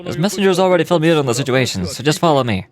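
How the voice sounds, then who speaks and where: A man's voice: fairly high pitch, big pitch swings, very fast. A man playing a direct, businesslike male guide, reading dialogue from a fantasy video game. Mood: brisk, businesslike.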